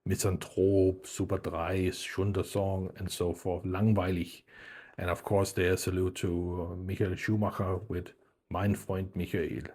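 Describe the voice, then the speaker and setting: A man's voice: sits low, darkish tone, husky. A middle-aged man from Denmark, whose first language was German, chatting casually about his old albums, rambling with lots of fillers and restarts. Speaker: music video host.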